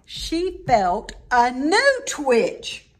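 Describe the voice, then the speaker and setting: A woman's voice: high-pitched, wildly swooping pitch, extremely slow. A woman reading a rhyming children's story aloud with dramatic, emphatic expression. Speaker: storybook reader.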